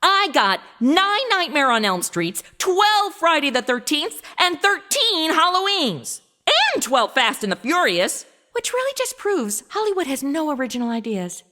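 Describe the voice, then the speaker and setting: A flippant modern American sidekick in an audio comedy. Her young voice is high, bright and very animated, full of slang and excitable sarcasm. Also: measured pace, full character voice. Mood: sarcastic. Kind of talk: comedic audio drama acting.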